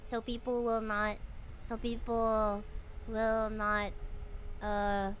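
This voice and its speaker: A woman's voice: fairly high pitch, flat delivery, slow pace. A woman with a Filipino accent, a native Bisaya speaker, chatting playfully on a live game stream and sometimes reading dialogue aloud. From Philippines. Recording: noisy live stream, game audio behind. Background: game sound and voices.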